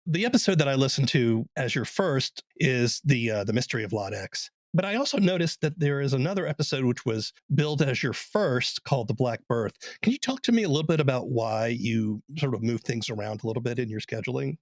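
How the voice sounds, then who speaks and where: Male podcast interviewer, husky mid-range voice, dry close recording, conversational questions. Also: mid-range voice, animated, a little fast, hardly any pauses, no room sound.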